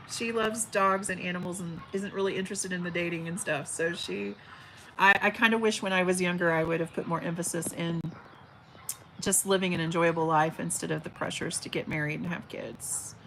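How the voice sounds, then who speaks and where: A woman's voice: lowish voice, a little fast, creaky, fairly bright tone, a little hiss or hum, low-quality recording. A woman in her forties hosting a casual livestream, reading viewer comments in a chatty, affectionate way with a big teacher voice. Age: 40s. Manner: chatty and affectionate, frequent fillers and restarts. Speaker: livestream host. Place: at home by an open window, birds outside.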